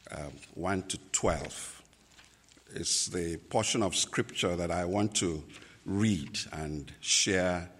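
A man from Nigeria, a native Igbo speaker with a Nigerian accent, preaching a sermon as a pastor, slow and deliberate with many pauses and fillers. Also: deep voice, fairly bright tone, big-room sound.

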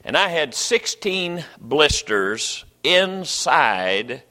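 A man's voice: on the high side, sing-song, drawn-out words, sharp tone. Folksy preacher man in a ringing hall. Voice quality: folksy, rambling with many restarts. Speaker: pastor. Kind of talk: sermon. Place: church sanctuary.

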